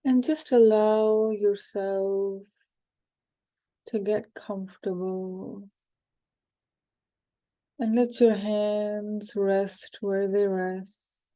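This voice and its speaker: A woman guiding a hypnosis meditation in a calm, unhurried, soothing way, with short phrases and pauses. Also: mid-range voice. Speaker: hypnosis guide.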